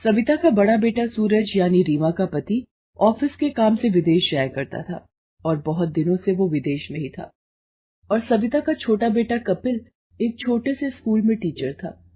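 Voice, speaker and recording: A woman narrates a scripted family tale. Her voice is mid-pitched, mellow and a little husky, in a storyteller's delivery. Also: lively intonation, unhurried.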